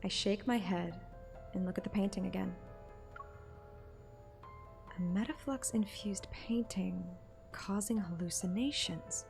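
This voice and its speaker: A woman narrates a first-person story in audiobook style. Her voice has noticeable creak and sits at an average pitch, with music playing clearly underneath. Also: measured pace, pauses often, a little mellow. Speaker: narrator and streamer.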